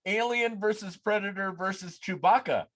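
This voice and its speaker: A man hosting a podcast over a video call, putting quick-fire questions in a casual chat. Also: high-pitched, a bit bright. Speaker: podcast host. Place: home room, on a video call.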